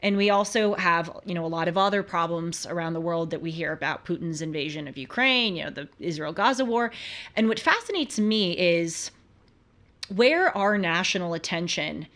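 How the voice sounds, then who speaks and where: A woman's voice: fairly low pitch, lively intonation, moderate pace, fairly bright tone. An American woman hosting a talk show, giving conversational political commentary in an earnest, emphatic way full of rhetorical questions.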